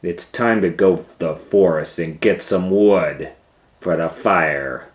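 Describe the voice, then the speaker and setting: A man's voice: low voice, slow, roomy sound. A man voicing a scheming, nagging, ill-tempered stepmother in a storybook, scolding and bossy.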